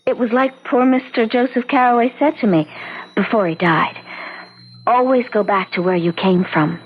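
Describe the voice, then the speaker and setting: A woman's voice: fairly high pitch, normal speed, close to an everyday voice. A famous daughter with something to hide, played by a woman. She is evasive and theatrical, in old radio drama manner. The sound is a little dull with faint noise behind it. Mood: evasive, chatty. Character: famous daughter back on the farm, hiding secret guilt.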